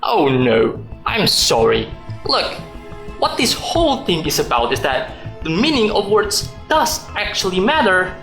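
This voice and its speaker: A man's voice: high voice, wildly swooping pitch, unhurried, fairly bright tone, heavily acted. An actor playing a pedantic, defensive male employee arguing his case in a radio drama. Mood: pedantic, explaining. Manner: pedantic, argumentative. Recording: roomy echo, music underneath.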